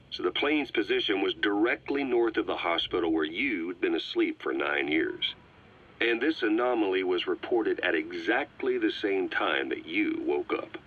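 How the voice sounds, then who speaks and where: A deep-voiced, brooding intelligence officer lays out a secret report in a video game. His husky delivery is deliberate and ominous, captured on a slightly muffled, dull cassette recording. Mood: ominous, hushed. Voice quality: raspy, husky. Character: grim, brooding male intelligence officer giving a secret briefing. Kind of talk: dramatic scripted game briefing.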